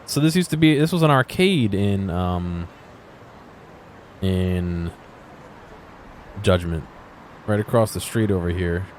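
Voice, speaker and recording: Male gaming commentator, very low pitch, low-key and musing, unscripted chat over faint background noise. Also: expressive, slow pace, pauses often. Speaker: gaming video host. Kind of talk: casual off-the-cuff game exploration commentary.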